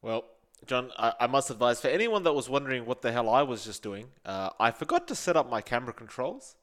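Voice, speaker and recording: Australian male caster, chatty and jokey, mid-pitched play-by-play voice. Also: big pitch swings, a little fast, fairly bright tone. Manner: chatty, joking banter. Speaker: esports caster. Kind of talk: play-by-play commentary.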